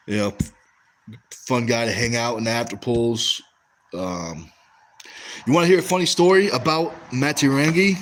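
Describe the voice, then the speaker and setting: A man's voice: medium pitch, slight background noise. A young American man hosting a casual livestream, reading chat and rambling through stories with lots of fillers and restarts. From West Coast of the US.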